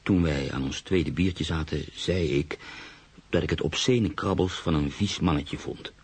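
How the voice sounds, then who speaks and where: Very deep, gravelly brooding man. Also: heavily acted. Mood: reflective, uneasy. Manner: measured, literary, pensive. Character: brooding, sceptical man narrating his own uneasy story. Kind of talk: radio drama narration and dialogue.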